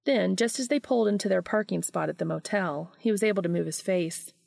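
A woman's voice: medium pitch, a little fast, gravelly, a little mellow, dry recording, clean studio sound. A woman reading a story aloud as scripted audiobook narration. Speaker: audiobook reader of fan fiction.

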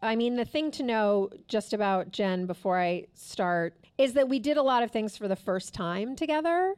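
A woman's voice: fairly high pitch, animated, darkish tone, clear, resonant tone, no room sound. A woman in her fifties, a guest author, reads frankly from her memoir at a live taping and chats casually. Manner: frank and candid, reads with measured pauses. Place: live taping at a store event.

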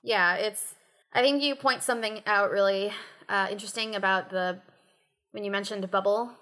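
A woman in a casual panel discussion, speaking tentatively and haltingly as she frames her point. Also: medium pitch, steady tone, bright, forceful tone. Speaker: roundtable panelist.

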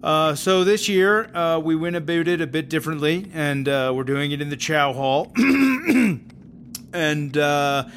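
Halting, folksy prison warden played by a man. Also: a little high, fairly bright tone, a little hiss or hum. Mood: hesitant, folksy. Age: middle-aged. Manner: hesitant, halting, full of ums and throat-clearing. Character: aging, folksy, awkward male prison warden. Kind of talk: audio drama mock documentary interview.

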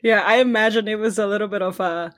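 A woman's voice: mid-range voice, steady tone, fast talker, a bit bright, no room sound, clean studio sound. A woman hosting a remote podcast interview in a casual, chatty way, often restarting words and leaning on fillers. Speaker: podcast host. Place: remote call, not in a shared studio.

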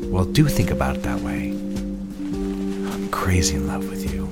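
An actor playing a suave, secretive fiancé in an intimate audio drama, coaxing and full of endearments. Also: male voice, very deep voice, animated, measured pace, whispered, over loud music. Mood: ardent, persuasive. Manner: coaxing, endearment-laden speech. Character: suave, ardent male fiancé, sweet-talking and secretive.

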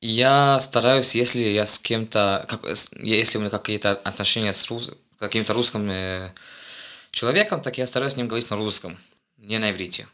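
Low bright-voiced young man, halting. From Israel. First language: Hebrew. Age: young adult. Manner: halting, searching for words, many restarts. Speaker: guest, host's eldest son. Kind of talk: casual conversation.

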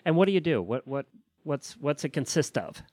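A man's voice: a little high, very animated, moderate pace, a little mellow, no room sound, clean studio sound. A man hosting a podcast, moving between upbeat intros and casual chat. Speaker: podcast host.

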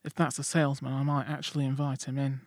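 Bloke playing a bored sarcastic stoke teen, glum drawl. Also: male voice, mid-range voice, little pitch movement, quick pace, lightly acted. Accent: Stoke-on-Trent. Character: bored young teenage boy Dodge.

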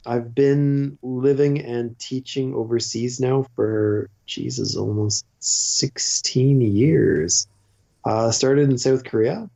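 A man's voice: medium pitch, steady tone, slow, creaky, dark tone, lo-fi sound. A Canadian man in his forties, a teacher and podcast guest, telling stories in loose casual chat with lots of fillers and restarts. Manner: rambling, self-interrupting, with frequent fillers and restarts. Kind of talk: casual conversational storytelling.

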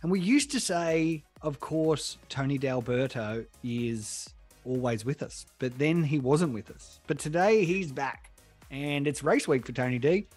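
Joking Australian podcast host. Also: male voice, medium pitch, expressive, a little slow, darkish tone, soft background music. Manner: upbeat, joking, teasing. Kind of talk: casual chat.

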